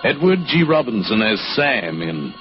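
A man's voice: on the low side, big pitch swings, moderate pace. A man announcing a 1940s radio show in a formal, emphatic, dramatic scripted style. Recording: dull old radio recording, loud music.

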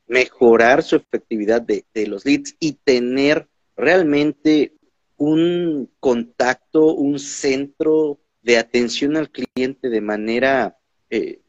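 A man with a Mexican accent hosting a podcast interview in a casual, animated way, often repeating his words. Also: mid-range voice, slow, no echo. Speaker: podcast host. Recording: dull lo-fi sound.

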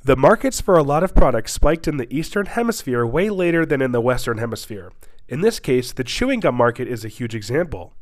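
A man's voice: mid-range voice, big pitch swings, a little fast. Young man with a stuffy nose. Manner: rambling, many restarts and fillers. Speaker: podcast host. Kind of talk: casual chat, reading stories aloud.

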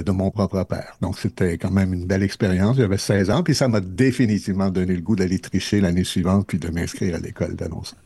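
A radio host with a Québécois accent spins a loose, unhurried story, pausing often as he goes. His voice sits low and husky, with a dark, mellow tone. The sound is close and dry, with no room around it. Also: no room sound. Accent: Québécois French. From Matane, Quebec. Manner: relaxed, rambling storytelling with many pauses. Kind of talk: casual on-air chat, telling anecdotes. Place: radio studio.

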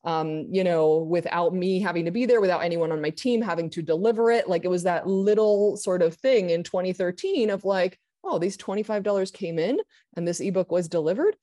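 Woman, low voice, raised in Argentina, candid interview talk, restarts. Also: lively intonation, warm tone. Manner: candid, quick, many restarts. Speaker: guest. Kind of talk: casual interview chat.

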